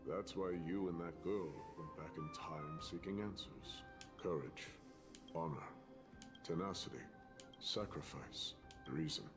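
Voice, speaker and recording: A battle-hardened video game warrior with a very deep voice. His delivery is grave and declarative, with formal, archaic wording and unwavering resolve. Clear music plays behind him. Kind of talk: dramatic video game voice acting.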